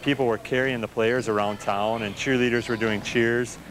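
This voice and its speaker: A man's voice: medium pitch, fairly even intonation, normal speed, a bit bright, slight background noise, low-quality recording. A man being interviewed, telling a story in an informal way.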